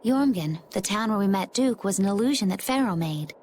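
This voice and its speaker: Woman playing a calm, composed woman adventurer. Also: mid-range voice, fairly quick, a little hiss or hum, natural voice. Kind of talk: anime-style game voice acting.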